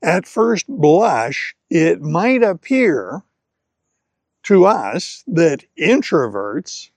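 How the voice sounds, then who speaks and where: Slow, higher-pitched man giving a lesson. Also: very animated, darkish tone, no room sound. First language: English. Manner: deliberate, carefully phrased in short clear segments. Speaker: language-learning presenter. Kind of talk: scripted educational talk to camera.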